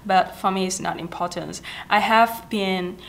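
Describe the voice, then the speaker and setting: A woman's voice: medium pitch, fairly even intonation, a little slow, vocal fry, fairly bright tone, poor audio. Young Vietnamese-accented woman giving tips. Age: 20s. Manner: chatty, gestures often, frequent fillers and self-corrections. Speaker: vlogger giving language-learning tips. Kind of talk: casual talking-head advice. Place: small indoor office with a plant.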